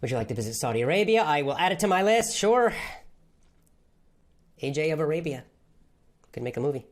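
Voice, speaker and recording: A man's voice: fairly high pitch, very animated, a little fast. A man who teaches English, answering viewers' questions in an enthusiastic, motivational way. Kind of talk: live Q&A with viewers.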